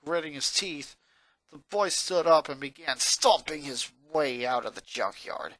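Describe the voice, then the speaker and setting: A man reading a story aloud as narrator, in a dramatic, expressive storytelling style. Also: a little high, unhurried, dry recording, low-quality recording. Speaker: narrator, fan fiction reader.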